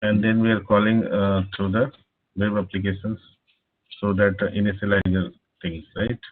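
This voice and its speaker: A man teaching a live online programming class over a speakerphone, pausing often with "uh". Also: lowish voice, little pitch movement. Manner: halting, many filler "uh"s. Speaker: programming instructor. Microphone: laptop speakerphone, no headset. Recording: tinny phone-like line.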